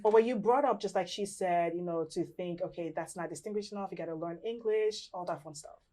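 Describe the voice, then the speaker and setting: A woman from Nigeria hosting a casual panel talk show, chatty and quick to laugh. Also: on the low side, dark tone. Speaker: host.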